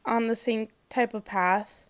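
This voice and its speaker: A young woman from Mexico, a guest on a casual podcast, talking softly and hesitantly with many fillers and small laughs. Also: a little high, fairly even intonation, unhurried. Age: 20s. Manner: soft-spoken, hesitant, giggly, many fillers. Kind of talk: casual chat.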